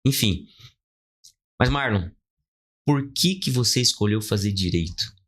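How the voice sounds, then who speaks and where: Lower-pitched Brazilian man hosting. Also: expressive, a little slow, a bit bright. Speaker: podcast host, lawyer. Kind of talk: conversational interviewing.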